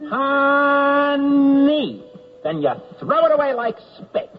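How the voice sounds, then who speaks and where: A man's voice: very high voice, very animated, takes it slowly, full character voice. A man playing an army dental officer coaching a salute in a radio comedy flashback. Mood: instructive. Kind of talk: 1970s radio comedy acting. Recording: muffled, reverberant radio flashback, music behind.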